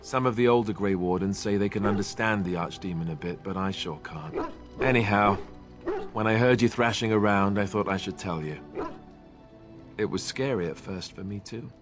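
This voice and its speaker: An actor playing a wry, self-deprecating young warrior in a video game, talking in an easygoing, joking way. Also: male voice, lowish voice, normal speed, soft background music, lightly acted. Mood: reassuring. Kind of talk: video game cutscene dialogue.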